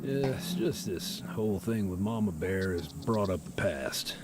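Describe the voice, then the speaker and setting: A gruff boozy old rebel in a game, low voice that swings all over, teasing and folksy, loud music under it. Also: male voice, lively intonation, unhurried. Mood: wistful. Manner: teasing, folksy, calls the listener "young blood".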